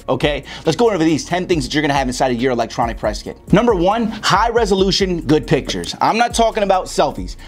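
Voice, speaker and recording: A young American man giving casual, slangy instruction to camera, speaking emphatically and often checking in with the listener. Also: medium pitch. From Chicago, United States. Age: young adult. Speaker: host of a music marketing video for rappers. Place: small home studio room, indoors.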